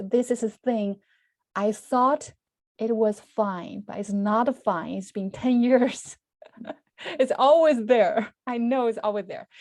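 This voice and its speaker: A woman teaching a class in English, often restarting her phrases and laughing softly. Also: fairly high pitch, dry recording, clean studio sound. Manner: frequent restarts and soft laughs; speaks English as second language, simple grammar. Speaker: Mandarin teacher. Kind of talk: online class talk.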